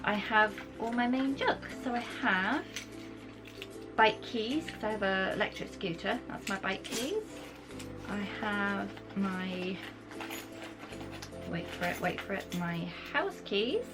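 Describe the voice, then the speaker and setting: Croaky chatty British woman, music behind. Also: medium pitch, lively intonation, slow pace. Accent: British English. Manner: chatty, enthusiastic, rambling. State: croaky from a cold, sore throat. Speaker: vlogger. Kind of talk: casual chat to camera. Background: background music.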